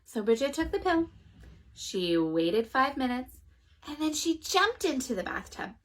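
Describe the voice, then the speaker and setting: A woman reading a children's picture book aloud in an animated, expressive way. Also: high voice, unhurried, poor audio. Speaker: children's story reader. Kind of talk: storytime read-aloud.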